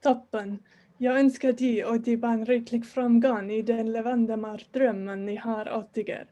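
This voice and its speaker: A woman playing a wimpy, frightened brother in a comedy table read, earnest and deadpan. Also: on the high side, unhurried, dull audio, natural voice. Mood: fearful farewell. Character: wimpy, frightened Swedish-speaking brother of a band member.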